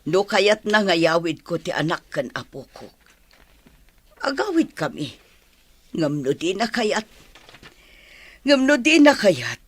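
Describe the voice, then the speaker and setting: A woman's voice: on the low side, sing-song, takes it slowly, long pauses, breathy edge, full character voice. A woman with an Ilocano accent playing a worried mother searching for her daughter in a radio drama, plaintive but determined.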